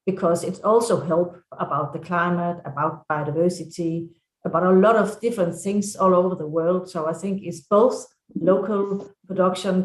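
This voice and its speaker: Low-voiced Danish woman in an echoey room. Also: a little mellow. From Denmark. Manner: halting, searching for words. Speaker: farmers' council vice president, panel speaker. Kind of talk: unscripted panel remarks.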